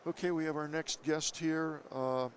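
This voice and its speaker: An effusive man hosts a live interview from a trade show floor, pitched a little high, jumping in with eager praise. He speaks into a headset, with a faint hum of noise behind him. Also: fairly even intonation. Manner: enthusiastic, effusive, quick to interject. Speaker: show host.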